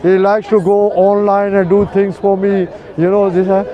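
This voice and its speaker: A man's voice: high-pitched, flat delivery, normal speed, highs cut off. An older man talking earnestly with a passer-by on a city street, explaining his views with many fillers. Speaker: street preacher. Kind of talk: street conversation. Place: busy city street outdoors.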